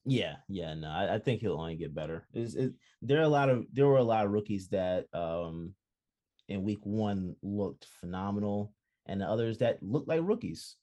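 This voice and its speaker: Low, mellow-voiced man, halting and excitable. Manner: halting, many restarts and fillers, excitable. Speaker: podcast co-host. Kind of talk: casual sports chat.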